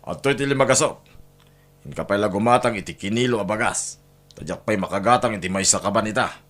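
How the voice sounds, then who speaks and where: A man playing a callous, short-tempered, domineering husband and father with an Ilocano accent in a radio-style family drama, gruff and scornful. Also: fairly low pitch, a little fast, fairly bright tone. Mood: dismissive.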